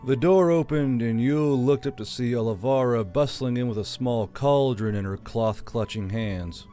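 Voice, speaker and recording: A man's voice: medium pitch, normal speed, mellow. A man reading a fantasy novel aloud as a scripted audiobook narrator. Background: background music.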